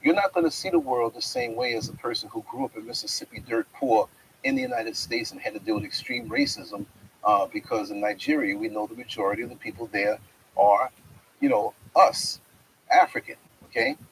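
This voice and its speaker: A middle-aged man hosting a reflective spiritual monologue, speaking outdoors in a yard with wide, preacherly swings in pitch. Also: very animated, a little fast, a little hiss or hum, poor audio. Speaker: host.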